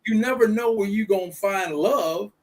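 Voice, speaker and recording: A man holds forth in an easygoing discussion. His voice sits high for a man. He circles back and repeats his key phrases with a preacher's rhythm. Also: moderate pace, crowd noise. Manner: preachy, repeats points for emphasis. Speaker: guest speaker. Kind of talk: casual discussion.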